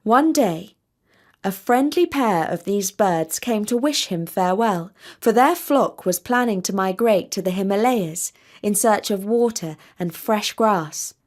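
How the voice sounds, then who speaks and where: A woman storyteller narrating a scripted children's tale in measured, deliberate phrases. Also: medium pitch, lively intonation, a little mellow.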